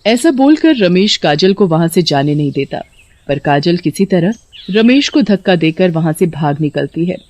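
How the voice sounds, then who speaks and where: A woman's voice: lowish voice, expressive, normal speed, darkish tone, dry recording. A woman narrator reading a scripted story.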